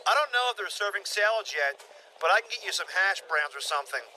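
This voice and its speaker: A man's voice: sits high, animated, fast, sharp tone, a little hiss or hum, exaggerated voice, rough recording. A man playing a clueless, easygoing husband in a comic audio play, casual and blasé. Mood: oblivious.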